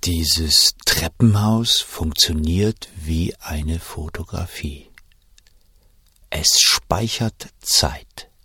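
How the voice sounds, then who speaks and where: A shaken wartime official, recounts a fateful night in an audio drama. His voice is very deep and rough, recorded close and dry. The telling is measured, inward and full of suspense. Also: a bit bright, dry recording, full character voice. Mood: reflective, hushed. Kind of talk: first-person dramatic narration in an audio drama.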